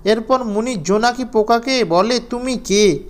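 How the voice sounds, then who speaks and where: A man's voice: extremely high pitch, unhurried. A man narrating a scripted children's fairy tale as storyteller.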